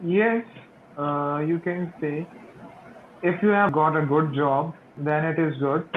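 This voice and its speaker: A man with a slightly high voice speaks slow, careful learner's English while interviewing over a video call. His voice comes through thin and phone-like, with faint hiss underneath. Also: fairly even intonation. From Pakistan. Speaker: interviewer and channel host. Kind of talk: English practice conversation. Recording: tinny phone-like call audio.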